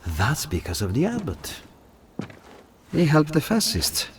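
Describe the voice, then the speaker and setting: An actor playing a sly mafia go-between in a video game, insinuating and teasing with false friendliness. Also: male voice, a little high, huge pitch swings, a little slow, slight background noise, full character voice. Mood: sly, knowing. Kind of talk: dramatic video game acting.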